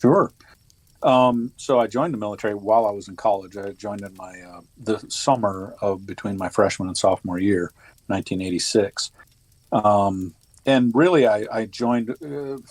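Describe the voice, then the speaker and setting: A man in his fifties, a retired Army officer, talking as a podcast guest in a casual, halting way with many restarts and fillers. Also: on the low side, darkish tone, dry recording. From United States. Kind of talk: casual interview conversation.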